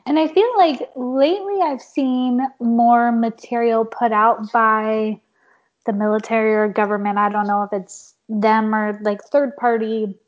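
A young woman hosting a casual conversation, a little hoarse, saying "like" often with an uneven pace. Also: fairly high pitch. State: slightly hoarse. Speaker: podcast host. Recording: dull lo-fi sound.

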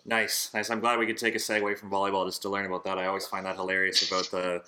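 Quick bright-voiced male interviewer. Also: deep voice, monotone. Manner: upbeat, chatty questions. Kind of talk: sports interview.

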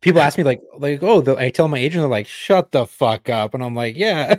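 A man's voice: mid-range voice, animated, brisk, background noise. A man co-hosting a casual podcast, blunt and joking, who swears heavily. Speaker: podcast co-host.

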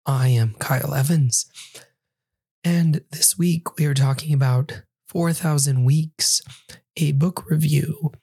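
A hoarse man hosts his own podcast, reviewing a book while still getting his voice back. His voice is raspy and dark, with some words slipping into a breathy half-whisper. The recording is close and dry. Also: on the high side, slow, dry recording. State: hoarse, recovering from losing his voice. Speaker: podcast host.

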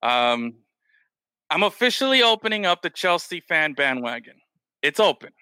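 Casual male podcast host, very bright and forward tone, dry close sound, rambling delivery. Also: fairly high pitch, very animated, normal speed, clear, resonant tone, no echo. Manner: loose, rambling, heavy on "man" and "bro". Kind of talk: casual chat.

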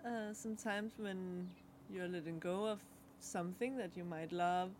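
A young adult woman whose first language is Danish, co-hosting a podcast and giving a relaxed card reading in a halting way, with many fillers and pauses. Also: fairly low pitch, a little hiss or hum. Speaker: podcast co-host. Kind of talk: casual chat, card reading.

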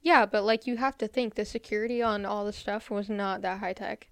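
A woman's voice: medium pitch, fairly even intonation, brisk, fairly bright tone, smooth, no echo, studio quality. A woman in her twenties co-hosting a casual podcast, playful and teasing, tossing in slang. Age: 20s. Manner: playful, teasing, says "bro" a lot. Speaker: podcast co-host. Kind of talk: casual chat.

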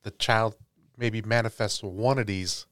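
A man's voice: fairly low pitch, steady tone, measured pace, fairly bright tone, no echo, clean studio sound. A man hosting a podcast about tabletop gaming in a casual talking style. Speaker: podcast host.

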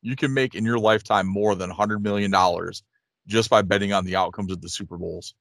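Podcast co-host, male, late thirties. Low pitch, dry sound, rambling casual chat. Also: steady tone, a little fast, creaky, fairly bright tone, dry recording. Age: late 30s. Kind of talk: casual chat, reading listener questions.

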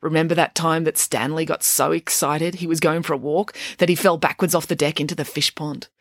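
A woman voicing a scatterbrained, chatty mother who is playful and whimsical, talking in a raised, animated way. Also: low-pitched, a little fast, no room sound, clean studio sound.

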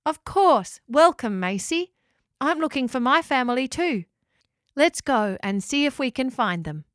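A kind female walking house speaks softly and politely, as a woman voices her. Her voice sits a little high. The recording is dry and close. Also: animated, measured pace, no echo. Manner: soft and polite.

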